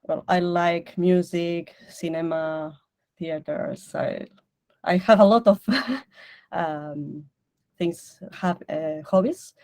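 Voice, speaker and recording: Woman, slow halting talk, mellow low voice. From Spain, Barcelona. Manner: hesitant, halting, laughs often. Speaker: participant. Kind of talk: casual online group chat.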